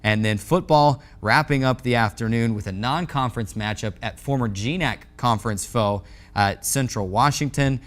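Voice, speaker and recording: A man's voice: mid-range voice, normal speed. A young man with an American accent, hosting and presenting sports news from a studio desk. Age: young adult. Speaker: sports show host.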